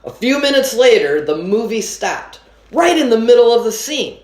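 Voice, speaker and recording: A woman reading a children's book aloud with an expressive storytelling manner. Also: on the high side, normal speed, echoey room. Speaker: story reader.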